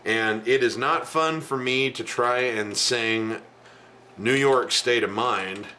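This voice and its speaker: A middle-aged man with a low voice teaching piano in a casual video lesson, talking bluntly and chatting between the keys he plays. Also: slow pace, pushed and bright, a little hiss or hum. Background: piano playing.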